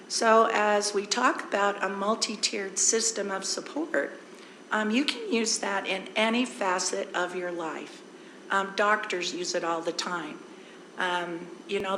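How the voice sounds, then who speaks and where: Hoarse woman, district presenter, easygoing and explanatory, in a roomy hall with faint noise. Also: medium pitch, a bit bright. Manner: conversational, explains with everyday examples. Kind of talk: informal presentation at a board meeting. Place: board meeting room.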